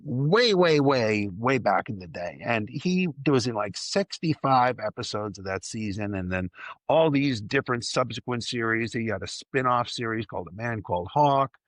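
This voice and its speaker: A man's voice: medium pitch, lively intonation, moderate pace, no echo. A man hosting a casual fan discussion, effusive and emphatic, often repeating words for emphasis. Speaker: podcast host.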